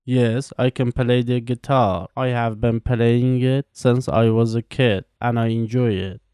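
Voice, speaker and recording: Careful, mellow man. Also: medium pitch, fairly even intonation, clean tone, dry recording, studio quality. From Iran. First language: Farsi. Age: 20s. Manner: slow, careful, evenly paced delivery with pauses between answers. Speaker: language teacher. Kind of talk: reading scripted practice answers.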